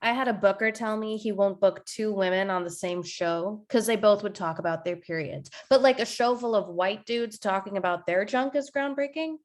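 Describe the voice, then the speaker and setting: A woman's voice: mid-range voice, moderate pace, few pauses, close to an everyday voice. A woman playing a young, sardonic stand-up comedian, with dry, punchy delivery in a table read. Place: video call from home.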